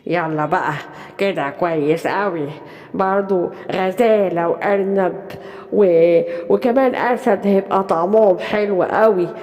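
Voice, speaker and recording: A woman voicing a greedy, wicked witch for a children's cartoon, bossy and scheming, with an Egyptian accent and drawn-out phrases. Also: fairly low pitch, darkish tone, lightly acted. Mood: gloating. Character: wicked greedy witch luring and bossing young animals. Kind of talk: children's cartoon storytelling in colloquial Arabic. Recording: long hall-like reverb.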